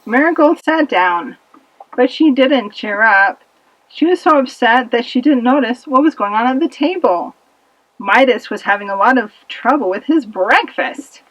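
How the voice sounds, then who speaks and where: High, lively woman storyteller. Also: measured pace, no room sound, rough recording. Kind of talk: scripted children's story narration for a puppet show.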